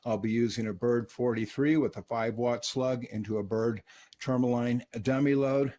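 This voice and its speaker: A man casually reviewing and testing a vintage radio in his workshop, relaxed and peppered with filler words. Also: medium pitch, normal speed.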